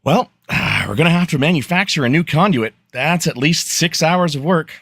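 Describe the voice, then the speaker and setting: A man's voice: on the high side, normal speed, fairly bright tone, dry recording, clean studio sound. A man playing a practical, helpful starship engineer in a fan radio play. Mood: apologetic, helpful.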